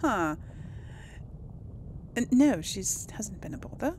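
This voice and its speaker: A woman's voice: medium pitch, very animated, takes it slowly, a little mellow, a little hiss or hum. A woman hosting a casual let's play, chatting and thinking aloud as she plays. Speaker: gaming channel host. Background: game music.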